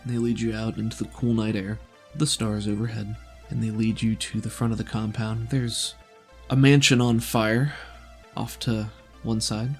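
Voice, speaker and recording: A man with a gravelly voice, running a tabletop roleplaying game as game master, telling the story and explaining in a deliberate way. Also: on the low side, level tone. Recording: voice over background music.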